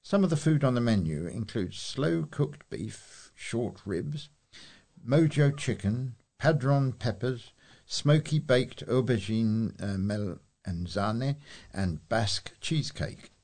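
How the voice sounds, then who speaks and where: A man reading local news aloud, a little halting over names. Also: mid-range voice, lively intonation, darkish tone. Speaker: volunteer news reader.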